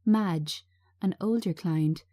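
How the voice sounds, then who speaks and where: A woman giving a scripted narration of screenplay directions in a measured, understated manner. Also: lowish voice, warm tone, no room sound, studio quality.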